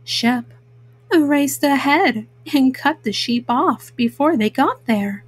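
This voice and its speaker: A woman's voice: high voice, animated, takes it slowly, breathy edge, no echo. A woman storyteller narrating a children's storybook.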